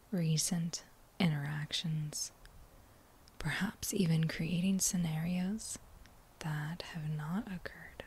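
A woman guiding a meditation in a breathy whisper, gentle and soothing, with long unhurried pauses between phrases. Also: fairly low pitch. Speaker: meditation guide. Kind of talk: guided meditation, whispered soft-spoken.

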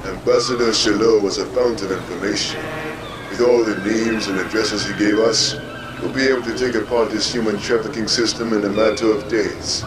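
Guy voicing calm creepy manipulative comic villain, low voice, noisy ambience behind. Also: normal speed, close to an everyday voice. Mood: smug, calm. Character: calm sinister male villain, smugly manipulative. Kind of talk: scripted comic-book drama. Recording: clear background ambience noise.

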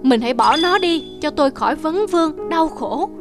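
A woman's voice: high-pitched, animated, moderate pace, music in the background. A woman voicing a humble, devoted young husband in a cartoon fairy tale, earnest and plaintive. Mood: sorrowful.

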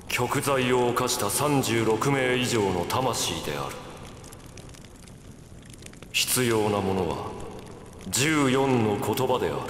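A man's voice: mid-range voice, fairly bright tone, roomy sound, in a large hall, slight background noise. A man voicing a gloating, megalomaniac immortal evil emperor in a video game, theatrical and grandiose.

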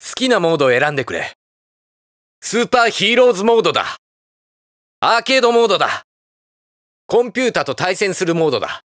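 An actor playing a hot-blooded, earnest young armored hero in a video game, blunt and boyish in manner. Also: male voice, high voice, sing-song, fast, pauses often, a bit bright, dry recording, clean studio sound. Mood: energetic announcing. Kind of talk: shouted battle lines and game voice clips.

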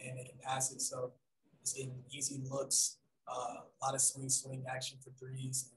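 A young man answering post-game press questions in a soft, hesitant way with many pauses and ums, over a video call. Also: mid-range voice, a little hiss or hum. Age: young adult. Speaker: basketball player at press conference. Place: room in front of team backdrop. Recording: roomy video call audio.

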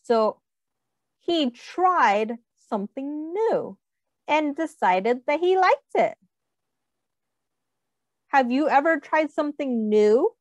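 Slow, lively woman reading to kids. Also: high-pitched, no echo. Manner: animated, asks children questions, does little character voices. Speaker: teacher reading aloud. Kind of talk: children's picture-book read-aloud.

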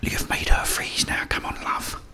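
A man's voice: moderate pace, bright, forceful tone. A middle-aged man with an English accent whispering ASMR commentary as he plays a game, muttering reactions and talking to the characters. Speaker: gaming ASMR host.

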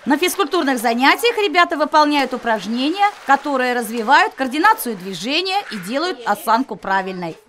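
A woman's voice: high-pitched, a bit bright. A woman reading scripted narration as a reporter for a news story.

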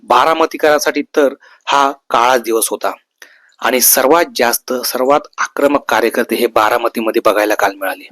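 Man, mid-pitched voice, news commentary, earnest, emphatic, repeated phrases, very dry recording. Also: normal speed, a bit bright, no room sound. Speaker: host of a news video channel. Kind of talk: semi-scripted news commentary, tribute to camera.

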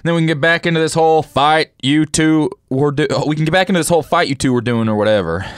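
A man's voice: fairly high pitch, fast, clear, resonant tone, no room sound, studio quality, natural voice. A man voicing a righteous, weary saloon bartender with a preachy, colloquial manner in a comedic western role-play. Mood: placating.

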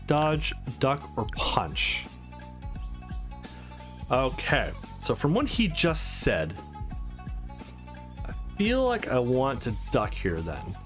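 A man hosting a let's play, chatting casually as he comments on a game. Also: mid-range voice. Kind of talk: casual game commentary, reading dialogue aloud.

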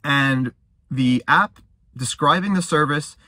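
Young male vlogger, conversational and rambling, bright-edged voice, dry sound from inside a car. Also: a little high, slow pace, dry recording. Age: young adult. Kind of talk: casual talk to camera.

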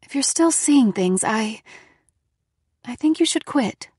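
A woman puts on a middle-aged mother for an audiobook. She is worried, doubting and bossy, with an insistent, questioning delivery on a somewhat high voice. The recording is very dry. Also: expressive, takes it slowly, darkish tone, slightly rough, dry recording, exaggerated voice. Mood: gently worried.

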